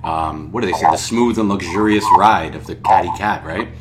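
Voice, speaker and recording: A man's voice: low-pitched, moderate pace, heavy background noise. A man hosting a podcast, talking in an enthusiastic, rambling way full of fillers. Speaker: podcast host. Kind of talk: podcast interview.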